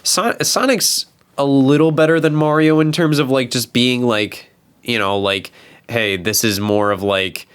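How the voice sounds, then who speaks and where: A man's voice: mid-range voice, unhurried, fairly bright tone, clean tone. A man in a casual panel chat, speaking in a rambling, conversational way. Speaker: talk show panelist.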